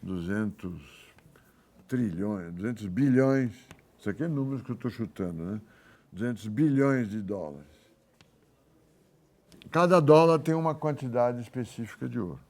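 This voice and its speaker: A man with a Brazilian accent giving a university economics lecture, informal and halting, thinking aloud and correcting himself as he works through examples. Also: medium pitch, expressive, darkish tone. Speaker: economics professor.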